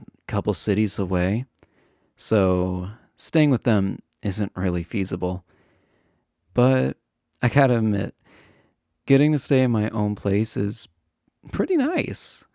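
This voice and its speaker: Casting: male actor as popular college guy, chatty and teasing, easygoing flirt, unhurried delivery with lively pitch swings, romantic audio roleplay. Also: dry recording, studio quality. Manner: chatty, teasing, hesitant filler-laden casual phrasing. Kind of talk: romantic roleplay audio acting, casual intimate chat.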